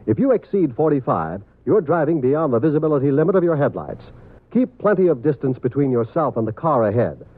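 A man's voice: on the high side, very animated, a little fast, dry recording. A man announcing in a scripted 1950s radio public service style. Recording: tinny vintage radio broadcast recording.

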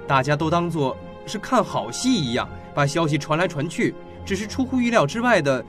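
An actor playing a cynical teenage high school boy in a radio drama, mocking and flippant. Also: fairly bright tone.

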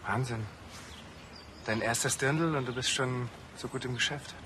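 An actor playing a friendly, gushing photographer with an encouraging, flattering way of talking. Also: male voice, mid-range voice, a little slow, noisy background, natural voice. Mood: curious.

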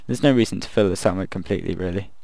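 A man casually commenting while he builds in a video game, thinking aloud and rambling, his voice croaky from the late hour. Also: sits low, racing, dark tone, no room sound. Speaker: gaming video creator.

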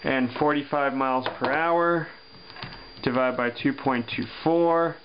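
A man teaching physics, working a problem step by step on paper and thinking aloud with pauses and fillers. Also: mid-range voice. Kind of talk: worked-example lesson over paper. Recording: dull lo-fi sound with faint hum.

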